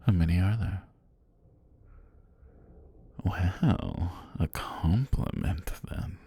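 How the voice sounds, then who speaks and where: Man voicing a deep, husky, languid serpent god. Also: full character voice. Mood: amused, curious. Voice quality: husky, breathy, gravelly. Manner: slow, deliberate, formal, softly menacing. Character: ancient male giant serpent god, languid, sinister, amused. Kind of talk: ASMR fantasy roleplay.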